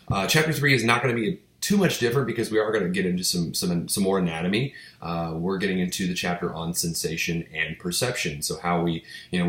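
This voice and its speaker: A young man from with a head cold, sounding stuffy, giving a relaxed, chatty recorded lecture with many fillers and restarts. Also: deep voice, echoey room. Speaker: psychology instructor. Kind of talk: casual recorded video lecture. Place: small home office, indoors.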